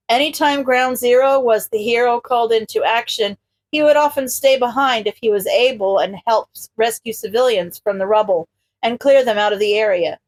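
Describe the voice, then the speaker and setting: A woman reading a scripted story aloud as a narrator. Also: on the high side. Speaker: podfic reader.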